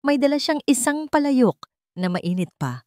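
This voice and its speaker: A woman's voice: sits high, very animated, normal speed, a little mellow, no echo, studio quality. A woman narrator reading a scripted dramatic story.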